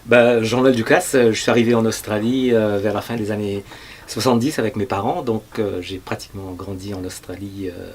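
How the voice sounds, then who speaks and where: A man from Mauritius tells a story from his years as a radio journalist. He speaks in an easygoing way. His voice sits fairly low. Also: steady tone, a little fast, a little hiss or hum. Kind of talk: casual anecdote.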